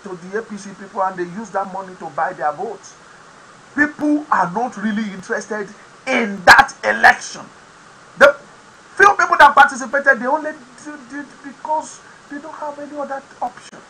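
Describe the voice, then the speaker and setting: Nigerian guy, yoruba his first language, ranting politics on his show, sharp bright voice, bit of noise behind. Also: very high-pitched, moderate pace, low-quality recording. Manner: emphatic, preaching tone with sudden loud bursts. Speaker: host of an online talk program. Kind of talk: impassioned political commentary to camera.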